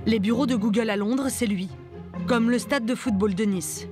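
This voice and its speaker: A woman reading a documentary voice-over in a measured, even way. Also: fairly high pitch. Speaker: documentary narrator. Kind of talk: scripted voice-over narration. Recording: studio voice-over with music underneath.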